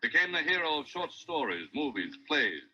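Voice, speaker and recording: An actor playing a grave, urgent newsreel announcer, clipped and declamatory, narrating a 1930s radio news dramatization on an old recording. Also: male voice, fairly high pitch, big pitch swings, normal speed, sharp tone, dull audio, a little hiss or hum, exaggerated voice. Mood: grave, dramatic.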